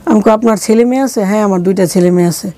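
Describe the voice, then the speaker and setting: Bangladeshi woman telling her story, mellow voice. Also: medium pitch, racing. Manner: colloquial, acting out quoted dialogue. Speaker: interview guest telling her life story. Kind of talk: conversational personal storytelling.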